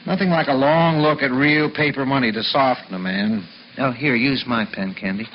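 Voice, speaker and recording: Actor as smooth-talking sweetheart con man, vintage radio. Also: medium pitch, normal speed, lightly acted. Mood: coaxing. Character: smooth-talking male con man posing as doting husband. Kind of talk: 1950s radio drama acting. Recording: muffled, hissy vintage radio recording.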